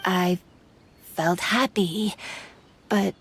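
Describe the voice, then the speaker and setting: A woman voicing a shy, wistful young woman in an anime game, soft-spoken and hesitant. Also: fairly low pitch, steady tone, drawn-out words, a little hiss or hum.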